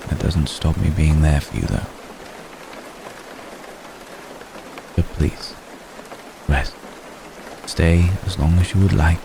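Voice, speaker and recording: A man playing a centuries-old, lovestruck vampire gentleman in an ASMR roleplay, speaking formally and haltingly, apologetic and tender. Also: extremely low pitch, vocal fry, full character voice. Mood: gentle, concerned. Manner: halting, formal, soft-spoken, deliberate phrasing. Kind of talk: ASMR roleplay, intimate dramatic monologue. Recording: steady rain noise behind the voice. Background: rain.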